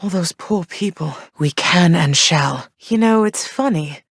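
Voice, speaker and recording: A woman voicing a gentle, thoughtful goddess queen and mother for a video game, speaking in a soft, measured, slightly formal way. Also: lowish voice, animated, husky, no room sound, studio quality, full character voice. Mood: tender. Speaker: voice actress for a video game character. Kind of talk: recorded game voice lines.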